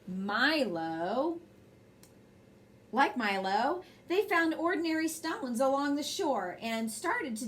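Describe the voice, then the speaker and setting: A woman reads a bedtime story in a fairly high, very animated voice. She is expressive and speaks directly to young listeners. There is some faint noise behind her. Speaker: storybook reader and host. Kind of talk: bedtime story read aloud with brief asides.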